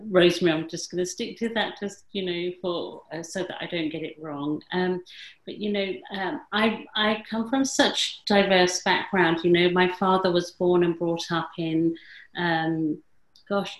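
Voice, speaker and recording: Woman host from england, lowish voice, rambly filler-heavy chat, echoey room. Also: steady tone, unhurried. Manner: rambling, many fillers and self-corrections. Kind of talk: reflective conversational chat.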